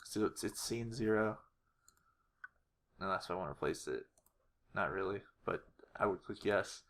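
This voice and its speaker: A man's voice: low voice, normal speed, fairly bright tone. A man narrating a casual tutorial, hesitant and unrehearsed, thinking aloud as he works. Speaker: animator, video creator.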